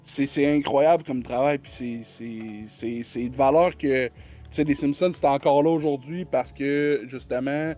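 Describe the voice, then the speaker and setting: A man's voice: medium pitch, steady tone, a little slow, mellow, faint music behind. A man with a Quebec French accent, a podcast guest chatting casually and rambling through many restarts. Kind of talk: casual conversational chat.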